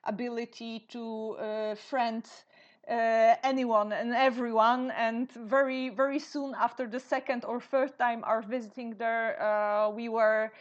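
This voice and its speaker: A woman with a Polish accent, in her thirties, answering interview questions slowly with many fillers and restarts. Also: on the high side, level tone. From Poland. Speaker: guest, theater director and translator. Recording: dull lo-fi sound.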